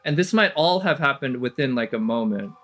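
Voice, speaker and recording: A man's voice: mid-range voice, expressive, fairly quick, fairly bright tone, faint music behind. A man giving casual, off-the-cuff commentary as a streamer, analytical and hesitant as he thinks aloud. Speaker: reaction streamer.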